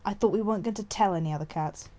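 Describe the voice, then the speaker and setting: A woman's voice: mid-range voice, rapid-fire, dark tone, lightly acted. A woman voicing a blunt, sceptical female warrior cat in an audiobook, matter-of-fact and challenging. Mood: suspicious.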